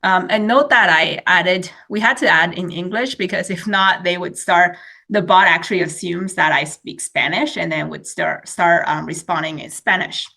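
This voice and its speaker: Bright-voiced woman giving a webinar talk. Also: on the low side, normal speed, gravelly. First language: Chinese. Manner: explanatory, many fillers and restarts. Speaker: webinar speaker, researcher. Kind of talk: academic webinar presentation.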